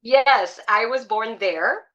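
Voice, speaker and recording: A woman's voice: fairly high pitch, slow pace, pushed and bright, lo-fi sound. A middle-aged woman from Puerto Rico, a salsa singer chatting as an interview guest, upbeat and full of uh and um fillers. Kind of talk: casual interview chat.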